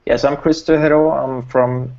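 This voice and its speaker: Swedish guy on a call panel, monotone, lots of uhs, muffled sound. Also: medium pitch, moderate pace. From Sweden. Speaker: panel guest. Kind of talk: casual video call talk. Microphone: video call. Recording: dull lo-fi call audio.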